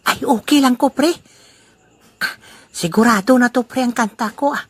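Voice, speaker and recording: A woman's voice: a little high, normal speed, husky, no echo. A woman playing a boastful, teasing old man in an amateur audio drama, laughing often. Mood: bragging. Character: boastful old man Lolo Albino, jolly rival.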